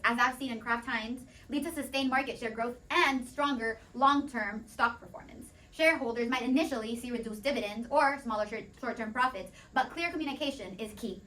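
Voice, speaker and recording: Fast-talking woman in a roomy recording. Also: fairly high pitch, bright, forceful tone. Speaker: panelist playing an executive. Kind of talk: scripted debate presentation. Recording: roomy, distant from the mic.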